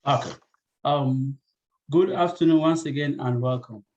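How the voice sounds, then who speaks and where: A man's voice: medium pitch, unhurried, a little mellow, highs cut off. A man working as a training coordinator, hosting a webinar over a video call, polite and apologetic, with many fillers.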